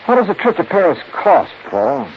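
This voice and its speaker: An American actor playing a tough, wry insurance investigator in a 1950s radio detective drama, clipped and hard-boiled. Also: male voice, high-pitched, big pitch swings, moderate pace, vocal fry, slight background noise, heavily acted. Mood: pointed, guarded. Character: tough, wry male insurance investigator narrating his case. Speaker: radio actor in the lead role. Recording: muffled 1950s radio recording with music.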